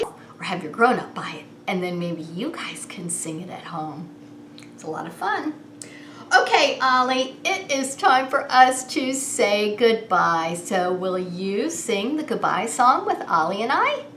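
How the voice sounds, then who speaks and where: A woman hosting story time as a preschool teacher, reading in a sing-song, animated way and coaxing small children with questions. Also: fairly low pitch. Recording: roomy echo with some background noise.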